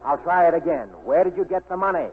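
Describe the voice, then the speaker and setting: A man's voice: on the high side, lightly acted. An American man acting a brash, stubborn newspaper editor in a 1940s radio drama, clipped and wisecracking. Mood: stern, pressing. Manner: clipped, cocky, rapid-fire. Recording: tinny, band-limited 1940s radio recording.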